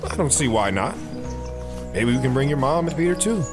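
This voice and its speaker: A man playing a gentle, encouraging father in an audio drama, calm and reassuring. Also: mid-range voice, brisk, over music, close to an everyday voice. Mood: warm, reassuring.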